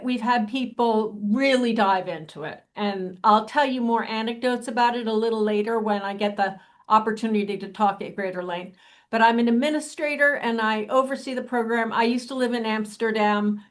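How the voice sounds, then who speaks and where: A somewhat higher-voiced woman speaks as a college dean and administrator. Her delivery is chatty and friendly, typical of remarks on a webinar panel.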